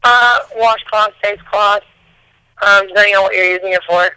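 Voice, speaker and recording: A woman with a hoarse voice chats loosely as a podcast guest. She mumbles a bit and restarts her thoughts often. The recording is dry and close, with no room around her. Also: mid-range voice, steady tone, moderate pace, bright, forceful tone, no room sound, low-quality recording. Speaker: singer and guest. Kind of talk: casual interview chat.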